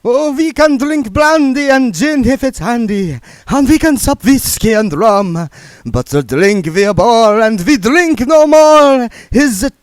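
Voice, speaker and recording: A man voicing an anxious male vampire fighting his thirst, who talks slowly and tremblingly and bursts into wailing song. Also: mid-range voice, sing-song, no echo, studio quality, pitched above the performer's own voice. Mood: fervent singing.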